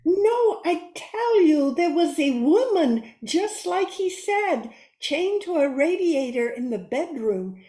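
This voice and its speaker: An earnest, easily awed woman, acted by a woman in a radio play. Her voice is high and flustered, with breathless hesitations, and it echoes around the room. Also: sing-song, slow, few pauses, dark tone, full character voice. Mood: distraught.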